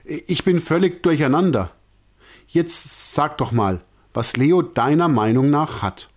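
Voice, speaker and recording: A man voicing a panicky male circus director in a storybook, with a high, strained, lamenting voice. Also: animated, measured pace. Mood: flustered. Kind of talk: storybook character voice.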